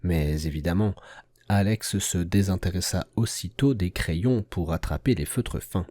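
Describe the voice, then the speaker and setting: Guy telling a kids story, lowish mellow voice, takes his time. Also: unhurried, dry recording. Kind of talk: scripted narration of a children's tale.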